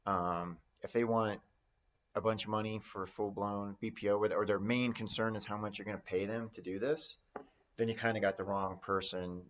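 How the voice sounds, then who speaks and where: A man's voice: lowish voice, steady tone, normal speed, fairly bright tone, muffled recording. A man giving informal, conversational instruction as an investing teacher. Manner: conversational, role-plays example phone calls. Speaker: real estate note investing instructor.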